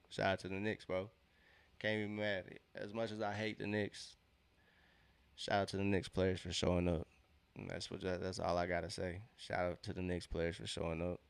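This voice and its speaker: Stuffy nosed young guy streaming a hoops game, deep voice, kinda gravelly, cursing a lot. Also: no room sound. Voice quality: nasal, congested. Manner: slangy and profane, repeats himself. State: congested. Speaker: sports watch-along streamer. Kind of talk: casual live reaction to a basketball game.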